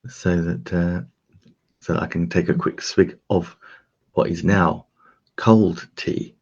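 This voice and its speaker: A man with a very low, gravelly voice walks viewers through a software demo in a loose, chatty way, full of fillers and restarts. His tone is a bit husky and a little croaky, and he talks into a desk microphone. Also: dull audio. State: slightly croaky voice. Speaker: host demonstrating streaming gear. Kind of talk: casual tech demo talk.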